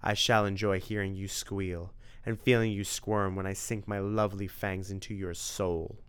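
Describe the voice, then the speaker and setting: A man voicing a vain, gloating vampire count in a read-aloud story, with loud melodramatic outbursts. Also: deep voice, level tone, normal speed, clear, resonant tone, lightly acted.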